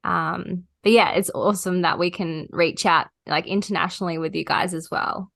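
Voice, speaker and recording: A woman's voice: on the low side, fairly even intonation, moderate pace, gravelly, a bit bright, dry recording, clean studio sound. A woman with an Australian accent, a podcast guest talking easily and rambling a little with fillers. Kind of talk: casual chat.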